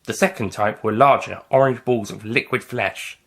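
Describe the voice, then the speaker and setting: A man's voice: lowish voice, normal speed, creaky, a bit bright. A man reading scripted audiobook narration as the narrator of a story.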